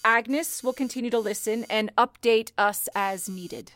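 A woman playing a calm, commanding telephone switchboard supervisor in an old-time radio play, with a deliberate, authoritative way of speaking. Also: fairly high pitch. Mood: firm, organizing. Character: calm, commanding female telephone operator supervisor in wartime-like crisis.

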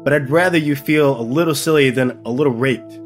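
A woman's voice: rumbling low, fairly quick, soft background music, voice pushed down. A woman voicing a caring young boyfriend who speaks earnestly and reassuringly. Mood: concerned.

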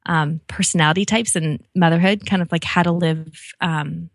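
A woman's voice: lowish voice, fairly even intonation, normal speed, dry recording, clean studio sound. An enthusiastic, chatty middle-aged American woman talking casually as a podcast guest. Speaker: podcast guest, read-aloud community founder. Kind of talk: casual conversational interview.

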